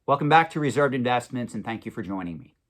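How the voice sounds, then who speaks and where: A man's voice: mid-range voice, lively intonation, fast. A man with a head cold, sounding congested, delivering a one-take monologue to camera as a collectibles investment host, emphatic and prone to repeating himself. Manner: emphatic, repeats himself, swings loud and soft. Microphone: camera mic, self-recorded.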